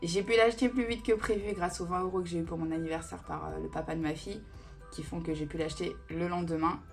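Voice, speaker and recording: A woman's voice: lowish voice, steady tone, fast, vocal fry, faint music behind. A woman in her thirties answering viewer questions in a casual beauty vlog, chatty and digressive with many fillers. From Italian family roots. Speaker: beauty vlogger. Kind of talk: casual Q&A chat.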